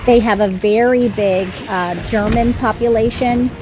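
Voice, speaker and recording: A woman's voice: fairly high pitch, fairly even intonation, measured pace, over music. A woman, an author talking as a guest on a live stream, explaining earnestly and often restarting her sentences. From Canada. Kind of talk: casual conversation on a live stream.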